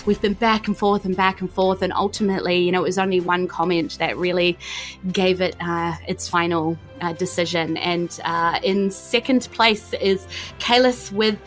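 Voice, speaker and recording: A woman's voice: on the low side, steady tone, moderate pace, soft background music. A woman, narrating and hosting as game master in a chatty, theatrical way. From New Zealand. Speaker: game master and podcast host.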